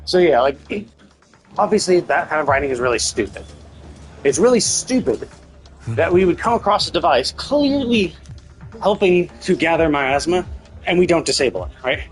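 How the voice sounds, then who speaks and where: A man's voice: a little high, very animated, normal speed, soft background music. A man giving rambling, critical live commentary as he plays, repeating himself for emphasis. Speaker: game streamer. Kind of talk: casual live game commentary. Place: live stream, game music behind.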